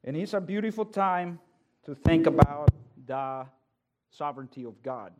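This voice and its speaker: Earnest male preacher, fairly high voice. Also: lively intonation, normal speed, heavy background noise. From El Salvador. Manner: earnest, building from quiet greetings to loud emphatic preaching. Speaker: guest preacher. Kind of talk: sermon.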